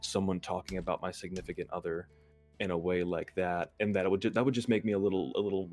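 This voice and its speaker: A man's voice: deep voice, little pitch movement, fast, darkish tone. A man chatting casually as a guest on a livestream, a bit hesitant and self-deprecating. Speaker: ASMR content creator, stream guest. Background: music.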